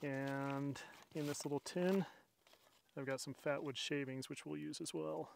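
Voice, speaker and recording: A man's voice: a little high, fairly even intonation, a little slow, gravelly, slight background noise. A middle-aged man hosting a camping vlog outdoors, chatty and self-deprecating as he explains his gear step by step to a camera. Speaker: outdoors channel host. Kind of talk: casual bushcraft vlog narration. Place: outdoors at a mountain campsite. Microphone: camera mic, body cam and camera on a tripod.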